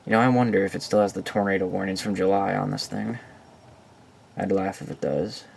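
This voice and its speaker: Guy with a lowish voice rambling casually thru his collection, some noise behind him. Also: fairly even intonation, a little fast, creaky. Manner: informal, rambling, unscripted. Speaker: hobbyist collector presenter. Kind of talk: casual collection tour vlog.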